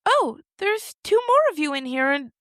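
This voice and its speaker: A very high, animated little girl. She is tender and excitable, easily frightened and fond of animals, a storybook child heard close and dry. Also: moderate pace, no room sound. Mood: concerned, curious. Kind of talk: storybook character voice in audio fiction.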